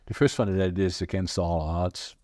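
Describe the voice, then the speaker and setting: A man in his eighties with a Dutch accent, telling stories from his life in a halting, rambling way, full of fillers and restarts. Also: sits low, steady tone, darkish tone, no room sound, studio quality. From Northern Netherlands. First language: Dutch regional dialect. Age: 80s. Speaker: podcast host. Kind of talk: casual conversational storytelling.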